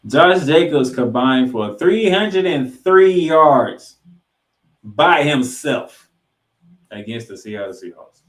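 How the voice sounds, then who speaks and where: A man's voice: on the high side, lively intonation, slow pace. Roomy-sounding man hosting a sports podcast. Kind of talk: sports recap commentary with stats. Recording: roomy, echoing room sound.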